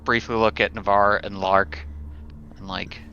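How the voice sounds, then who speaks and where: Slow, bright low-pitched man, dull recording. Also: little pitch movement, a little hiss or hum. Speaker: tabletop game player. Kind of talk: casual in-character roleplay and chat. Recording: dull audio with music behind.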